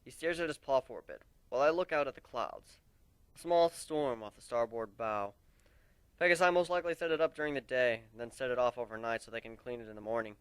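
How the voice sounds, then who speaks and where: A man narrating a dramatic first-person story in an expressive, conversational way. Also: mid-range voice, clean tone, dry recording.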